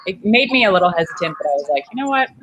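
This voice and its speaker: Friendly lower-voiced woman on a noisy call. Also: very animated, rapid-fire, fairly bright tone. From Kenya. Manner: friendly, laughs easily, chatty. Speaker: MBA student. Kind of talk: casual video-call chat. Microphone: video call. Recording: video call with clear background noise.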